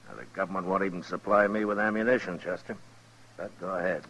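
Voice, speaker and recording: An American actor playing a calm, fair frontier marshal, measured and plain-spoken, in 1950s radio western style. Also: male voice, low-pitched. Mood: dry, patient. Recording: noisy old-time radio recording.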